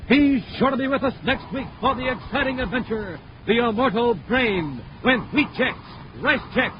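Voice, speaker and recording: An American man as an emphatic, showman-like 1950s radio announcer, reading scripted narration and sponsor pitches. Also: moderate pace. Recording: muffled, noisy 1950s radio recording.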